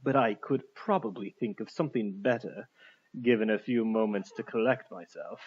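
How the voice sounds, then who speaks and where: Storybook villain: husky aristocratic captain, played by a male narrator, formal, mocking politeness, slightly lo-fi. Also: on the low side, normal speed. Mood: silky menace. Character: suave, menacing aristocratic male army captain. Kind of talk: audiobook character voice.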